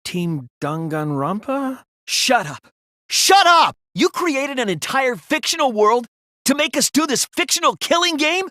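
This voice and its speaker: A man voicing an earnest, sensitive young student detective in an anime video game, with intense, emotional, sometimes halting delivery. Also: very high-pitched, sing-song, sharp tone, dry recording, studio quality, full character voice. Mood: bewildered, distressed, then furious. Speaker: voice actor.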